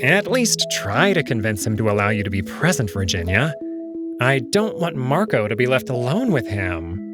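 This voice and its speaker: A man's voice: medium pitch, big pitch swings, moderate pace, music in the background, natural voice. A man voicing a sardonic jackal palace noble who speaks in an exasperated, pointed way in a visual novel reading. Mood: exasperated, worried.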